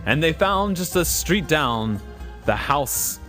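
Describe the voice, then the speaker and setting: A man's voice: a little high, very animated, takes it slowly, bright, forceful tone, faint music behind. A man narrating an adventure audio drama in a storytelling style.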